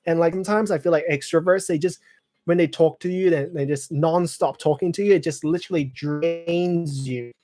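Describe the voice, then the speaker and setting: A man's voice: a little high, steady tone, normal speed, over music. Rambling young man, lots of fillers. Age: 20s. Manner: clears throat often. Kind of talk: casual live chat reading viewer comments.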